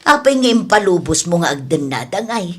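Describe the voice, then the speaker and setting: A woman's voice: fairly low pitch, big pitch swings, fairly quick. A woman playing a nosy, disapproving middle-aged sister in a radio drama, gossipy and reproachful, with an Ilocano accent. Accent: Filipino (Ilocano). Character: nosy, disapproving sibling of the old father.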